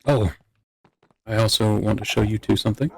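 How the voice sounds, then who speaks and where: A man's voice: fairly low pitch, fairly even intonation, normal speed, vocal fry, husky, low-quality recording. A man playing a casual, wryly teasing young courtier with a hesitant delivery in an improvised Minecraft roleplay. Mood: matter-of-fact.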